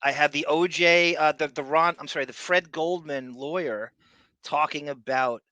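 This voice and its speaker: A man's voice: on the high side, normal speed, sharp tone, no room sound. A man hosting a casual live chat show, rambling through asides and repeating his phrases. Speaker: podcast host.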